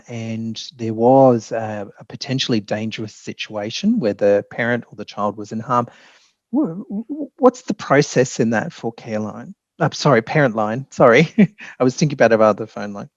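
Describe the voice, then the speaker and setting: A man's voice: mid-range voice, animated, moderate pace, no room sound, highs cut off. A man with an Australian accent, a polite host moderating a webinar panel.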